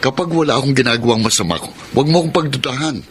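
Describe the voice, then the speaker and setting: A man's voice: mid-range voice, lively intonation, rapid-fire, noisy background, close to an everyday voice. A man acting an evasive, defensive philanderer in a radio drama, with a Filipino accent. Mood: irritated, defensive. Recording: radio drama with clear music behind. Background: music.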